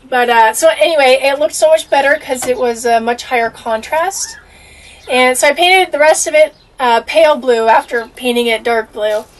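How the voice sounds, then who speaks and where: A young woman chatting excitedly through a DIY project inside an RV, full of fillers, recorded on a camera mic across the table. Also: fairly high pitch, distant mic, poor audio. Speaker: RV DIY vlogger. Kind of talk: casual DIY narration.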